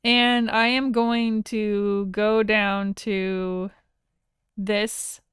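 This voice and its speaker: A woman teaching audio software in a casual, conversational screen-share tutorial, informal and enthusiastic about her tools. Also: mid-range voice, flat delivery, very slow, smooth, no room sound, studio quality.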